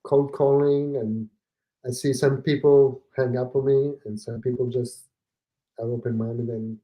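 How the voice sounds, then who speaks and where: A man from Italy with an Italian accent, a podcast guest talking casually and haltingly, with many fillers and restarts. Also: medium pitch, steady tone, mellow, rough recording. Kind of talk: casual conversation.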